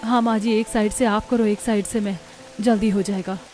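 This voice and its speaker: A woman voicing a gentle, self-sacrificing daughter-in-law from a poor village in a cartoon. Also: mid-range voice, steady tone, normal speed, faint music behind, lightly acted. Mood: urgent.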